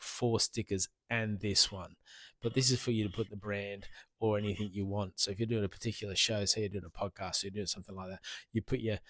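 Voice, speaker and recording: Man, lowish pitch, casual product reviewer, chatty and repetitive, handheld wireless mic, dry sound. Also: no room sound. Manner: chatty, informal, repeats himself. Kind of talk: casual gear review to camera. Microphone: handheld wireless interview microphone.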